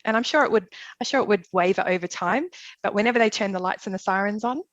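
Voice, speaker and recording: A woman's voice: mid-range voice, quick pace, no room sound, dull audio. A middle-aged woman from Melbourne with an Australian accent, telling an interviewer about her nursing career, chatty, with lots of fillers. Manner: chatty, rambling with many fillers, laughs easily. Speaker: guest, emergency nurse. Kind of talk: conversational interview.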